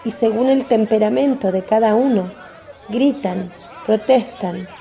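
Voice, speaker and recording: A woman's voice: fairly high pitch, measured pace, creaky, mellow, some chatter behind. A woman giving scripted dramatic narration of a religious story.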